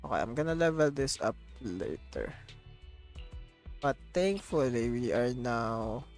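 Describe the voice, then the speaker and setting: A man's voice: mid-range voice, very animated, measured pace. A man livestreaming a game, chatting casually in a rambling, repetitive, slangy way. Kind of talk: casual livestream chat over gameplay. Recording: loud game music behind. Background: game music and audio.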